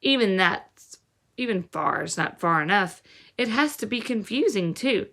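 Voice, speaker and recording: A woman's voice: medium pitch, animated, measured pace, creaky, bright, forceful tone, heavily acted. Storybook boy, played by a woman: young, troubled, stubborn, terse and intense.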